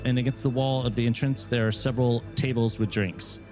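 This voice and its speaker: A man running a tabletop game as game master, setting scenes in a steady, descriptive way. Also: mid-range voice, a little fast, music in the background.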